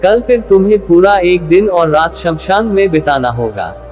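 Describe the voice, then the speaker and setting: A wise male spiritual master, as a man narrates him gives calm, measured instruction in a moral storybook tale. The voice is a little high and swims in hall reverb. Music plays behind it. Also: dull audio. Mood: calm, teaching.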